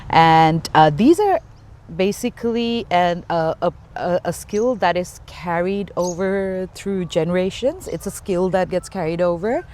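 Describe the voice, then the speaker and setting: A woman's voice: fairly low pitch, a little slow, a little mellow. Young woman, Bengali native, interview guest; casual, hesitant, explains with plenty of fillers. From Bangladesh. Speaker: guest, small business owner.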